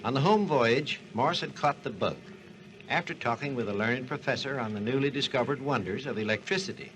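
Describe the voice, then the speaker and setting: A man's voice: mid-range voice, brisk, background noise, natural voice. A man playing a learned doctor who narrates and explains in formal, instructive 1940s radio style. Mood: authoritative, instructive. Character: authoritative male doctor explaining history and science. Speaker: narrator and expert.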